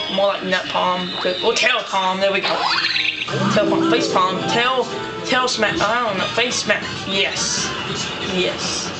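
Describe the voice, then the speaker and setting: Hoarse guy doing let's play commentary, mic kinda far off, game music blasting behind. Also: high voice. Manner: rambling, frustrated outbursts, mumbled asides. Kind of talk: casual gameplay commentary. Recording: distant mic over loud game music.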